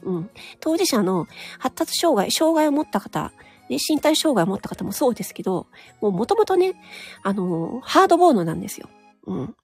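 A woman with a higher, breathy voice that swings up and down lively as she talks. She speaks alone in a frank, chatty monologue, full of little nodding asides, while faint music plays behind her. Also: moderate pace. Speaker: solo audio broadcaster. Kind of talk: casual solo monologue.

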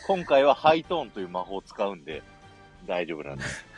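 Low-voiced man hosting, lively intonation. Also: fast, over music. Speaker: host. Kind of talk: casual live chat hosting.

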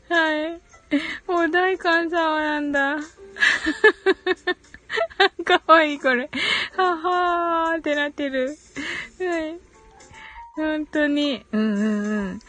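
A woman's voice: high voice, extremely slow, long pauses, bright, forceful tone, soft background music. A giggly woman hosting a casual live audio stream, chatting and reading out listener comments with frequent short acknowledgements. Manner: giggly, frequent laughter while talking.

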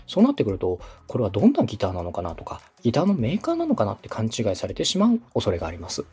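A man's voice: on the low side, wildly swooping pitch, racing, dark tone, breathy edge, soft background music. A man from Osaka hosting in polite, methodical coaching talk, explaining things point by point as a radio director would. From Osaka, Japan. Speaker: podcast host, radio director coaching a podcaster.

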